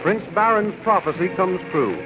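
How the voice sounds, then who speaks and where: A man's voice: high-pitched, lively intonation, moderate pace. A man giving dramatic scripted narration in the style of a 1930s radio serial. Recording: muffled old radio recording. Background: music.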